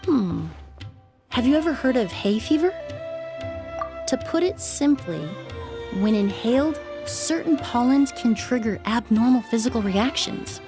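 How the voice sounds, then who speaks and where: Game perfumer, slightly high voice, formal, precise, courteous, faint music. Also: female voice, lively intonation, a little slow. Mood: calm. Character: poised young woman perfumer, courteous and analytical. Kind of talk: video game dialogue.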